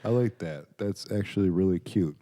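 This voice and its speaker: Young American male podcast guest, late twenties, deep relaxed voice, informal, joking, rambling chat. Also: normal speed. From Chicago area, United States. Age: late 20s. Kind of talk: casual chat.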